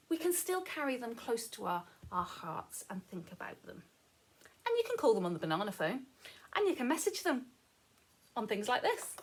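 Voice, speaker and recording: A woman with a fairly high, very melodic voice reads to young children as a storyteller. She takes her time and sounds gentle and reassuring, keeping her words simple. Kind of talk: expressive children's story reading.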